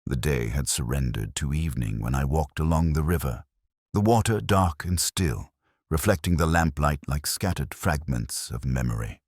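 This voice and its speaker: A man narrating a first-person detective story in a measured, literary, contemplative manner. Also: very deep voice, no room sound, studio quality. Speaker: audiobook-style narrator.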